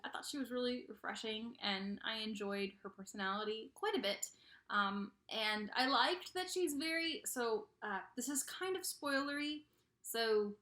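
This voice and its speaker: A woman's voice: on the high side, bright, forceful tone. A woman talking casually in a book review vlog, emphatic and chatty, often repeating phrases for emphasis. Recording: roomy, echoey indoor recording.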